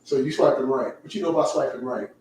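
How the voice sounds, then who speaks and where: A man's voice: mid-range voice, distant mic, a loud crowd. A man from the with a light lilt, chatting casually and teasing the others as he hosts a livestream. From Caribbean. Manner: teasing, talkative, quick asides. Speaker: livestream host. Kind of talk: casual chat. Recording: echoey room, away from mic.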